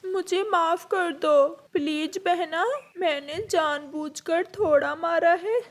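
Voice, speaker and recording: A young cartoon boy bird, acted by a woman, stubborn and hot-tempered. The voice is very high and childish, with slow, insistent delivery. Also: exaggerated voice. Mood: pleading.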